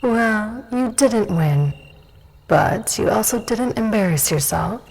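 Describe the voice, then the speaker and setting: A woman playing a confident, teasing dusk elf warrior with a cool, creaky, commanding delivery in a fantasy audio drama. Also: mid-range voice, expressive, takes it slowly, darkish tone, heavily acted, rough recording. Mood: cool approval.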